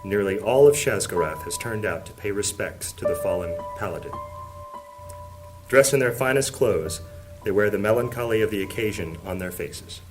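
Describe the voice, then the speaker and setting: A man's voice: fairly low pitch, animated, normal speed, lightly acted, low-quality recording. A man narrating a scripted fantasy audio drama as a solemn storyteller. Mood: solemn, descriptive. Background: plucked guitar music.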